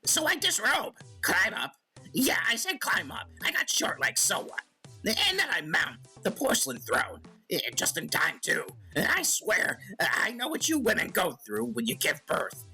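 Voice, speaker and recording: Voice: mid-range voice, wildly swooping pitch, measured pace, vocal fry, bright, forceful tone, husky, soft background music, exaggerated voice. An actor voicing a sly, crude male goblin who hosts a comedic radio call-in show, smirking and rambling. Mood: boastful storytelling. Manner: smirking, self-justifying, rambling with drawn hesitations. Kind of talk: comedic in-character monologue and banter.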